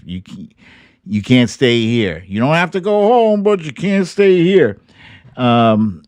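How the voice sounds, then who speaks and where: A man with a fairly low voice hosts a casual livestream. He talks in a wry, informal, stop-and-start way, at an easy pace in a dry, close room. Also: a little mellow, no room sound. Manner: informal, wry, halting delivery with occasional swearing. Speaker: livestream host. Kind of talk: casual live commentary, reading viewer comments.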